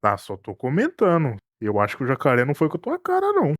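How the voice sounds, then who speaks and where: A man playing a teasing, self-protective cruise captain in an animated mystery, colloquial and Brazilian-accented. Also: fairly high pitch, fast. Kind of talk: animated murder-mystery dialogue.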